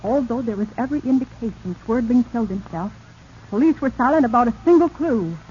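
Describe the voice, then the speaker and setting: An actress playing an anxious, urgent wife in a 1940s radio drama. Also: female voice, on the high side, animated, quick pace, dark tone, natural voice. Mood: accusing, alarmed. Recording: noisy, dull 1940s radio recording.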